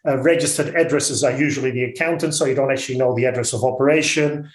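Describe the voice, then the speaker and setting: A man with a Dutch accent speaking as an interview guest, blunt and outspoken, often halting and repeating words. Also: mid-range voice, steady tone, echoey room. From Netherlands. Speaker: interview guest, orchard business owner. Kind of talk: radio interview.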